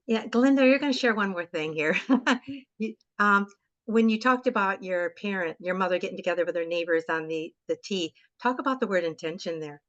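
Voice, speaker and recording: A woman's voice: medium pitch, brisk. Woman host on a muffled call. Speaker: webinar host. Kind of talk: moderating a video call. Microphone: video call. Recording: slightly muffled video call audio.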